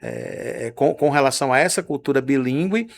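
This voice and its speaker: A man's voice: mid-range voice, animated, moderate pace, gravelly. A man with a Brazilian Portuguese accent hosting a radio interview, asking long, winding questions with "não é?" tags.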